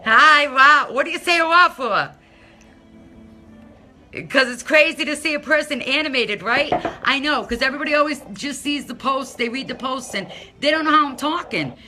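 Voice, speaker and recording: A middle-aged woman from Massachusetts with a non-rhotic local accent, chatting casually on a phone live stream from her home, loud and talking to viewers by name. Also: high voice, normal speed, soft background music. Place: home, by a window. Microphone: phone held at arm's length.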